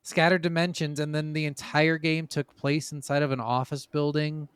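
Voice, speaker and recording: A man's voice: fairly high pitch, level tone, moderate pace, a crowd in the background. A man hosting a casual gaming podcast, blunt and informal, swearing freely. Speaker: podcast host.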